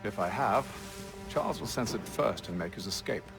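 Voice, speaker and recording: A deep male voice with lively, wide-moving intonation, playing a confident, calculating leader in a game cutscene. He is composed and eloquent, with music playing behind him. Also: normal speed, gravelly. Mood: dry confidence. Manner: composed, formal, eloquent phrasing. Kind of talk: video game cutscene acting.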